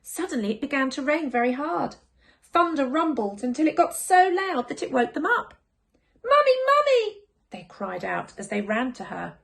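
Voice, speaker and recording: Animated woman reading a children's story. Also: high-pitched, normal speed, gravelly. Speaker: primary school teacher reading a story. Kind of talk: expressive picture-book narration for children.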